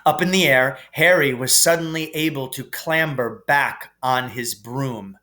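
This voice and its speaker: A man reading a children's novel aloud as expressive narration. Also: medium pitch, a little slow. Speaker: reader.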